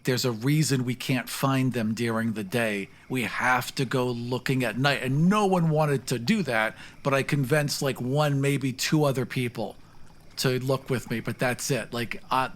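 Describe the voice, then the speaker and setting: A man's voice: mid-range voice, measured pace. A man playing a tabletop game, improvising his character's story as he talks.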